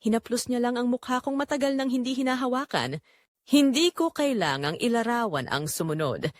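Woman acting wistful Filipina wife, lively, swooping intonation. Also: mid-range voice, no echo, studio quality, lightly acted. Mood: tender, intimate. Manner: introspective, confessional. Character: Melissa, quiet long-neglected wife and mother, wistful, tender. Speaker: narrator of an audio drama. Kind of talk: first-person romantic drama narration.

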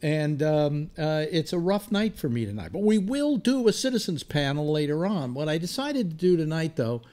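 An American man in his seventies chats as a talk show host. His delivery is casual and rambling, with a very lively, swinging pitch. He speaks into a desk microphone at home. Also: normal speed. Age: 70s. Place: home room lined with disc shelves.

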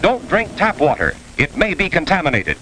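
High-voiced American emergency radio announcer. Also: male voice, wildly swooping pitch, normal speed, sharp tone, exaggerated voice. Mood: official, urgent. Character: urgent, official male civil defense radio announcer. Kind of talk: 1950s emergency radio bulletin. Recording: noisy old 1950s film soundtrack.

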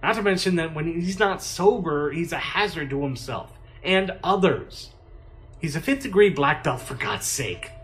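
Man acting an anxious, higher-voiced presidential aide. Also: expressive, moderate pace, a bit bright. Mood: worried. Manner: hesitant, respectful, cautioning. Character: anxious, deferential male presidential adviser. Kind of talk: audio drama acting.

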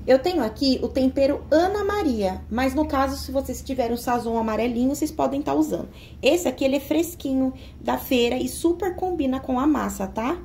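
A woman's voice: high voice, expressive, a little fast, few pauses, darkish tone, a little hiss or hum. A Brazilian woman talking through a recipe in her home kitchen, explaining each step and checking in with her viewers. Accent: Brazilian Portuguese. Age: young adult. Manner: chatty, explains step by step with frequent "tá?" check-ins. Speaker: home cooking vlogger. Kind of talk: casual cooking tutorial.

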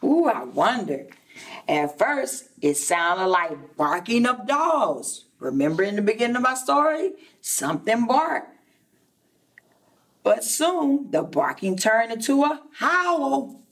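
A woman teacher reading a children's storybook aloud expressively, addressing young listeners in a deliberate way. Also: on the high side, sing-song, distant mic. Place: indoor classroom.

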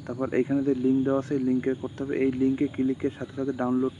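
A man's voice: medium pitch, racing. A man, casually walking through a phone screen recording as a tutorial host, rambling and repeating himself between steps. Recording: dull lo-fi phone recording with steady insect-like background noise.

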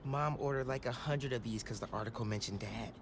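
An actor playing an easygoing teenage boy hero in a video game, with relaxed, casual city-kid talk. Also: mid-range voice, brisk, noisy background, natural voice. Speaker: game character.

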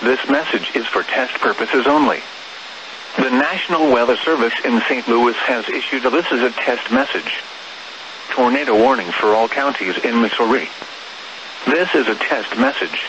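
A man reading a scripted emergency alert as a weather radio announcer, formal, measured and impersonal, over the radio. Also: mid-range voice, sing-song. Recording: noisy weather radio broadcast.

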